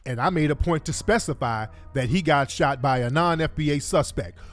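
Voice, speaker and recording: A man's voice: a little high, moderate pace. A man hosting a talk show, giving opinionated live commentary in an emphatic, colloquial way and repeating points for stress.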